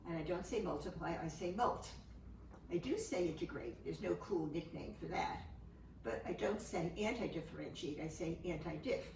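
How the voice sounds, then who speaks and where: A woman's voice: fairly low pitch, vocal fry, darkish tone. Older woman, echoey and distant, reading poetry. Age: 70s. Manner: conversational, halting, matter-of-fact. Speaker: poet and author. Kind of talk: informal poetry reading with spoken asides. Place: room, remote home-style recording. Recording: echoey, noisy room, distant microphone.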